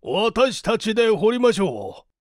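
A man voicing an anxious, excitable country gentleman in a dramatic story reading, with an agitated, emphatic delivery. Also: high-pitched, a little slow, dry recording, clean studio sound, pitched above the performer's own voice. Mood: excited.

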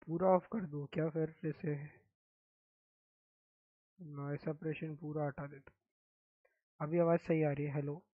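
A young man from India chats softly on his game stream, his throat sore and hoarse. His slightly high voice stays flat and even. The sound is thin and tinny, like a phone line, with no room echo. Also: a little slow, pauses often. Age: young adult. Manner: speaks softly, mic-checking. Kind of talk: casual live-stream chat.

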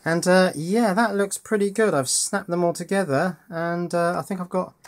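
A man's voice: sits high, fairly even intonation, moderate pace. A man hosting a casual hands-on electronics tutorial, informally thinking aloud as he works. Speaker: host.

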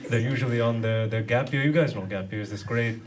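A man from Sri Lanka performs stand-up on a small club stage in a deadpan, hesitant way. His pitch is mid-range, and the live recording sounds distant with very heavy noise. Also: fast talker. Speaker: stand-up comedian. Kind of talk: stand-up comedy monologue. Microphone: handheld stage microphone. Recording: distant, very noisy live room.

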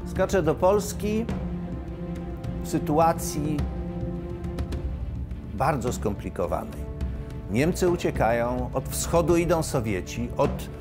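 A man, giving expert documentary commentary emphatically, with dramatic pauses. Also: mid-range voice. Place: indoor studio. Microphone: lapel. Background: music.

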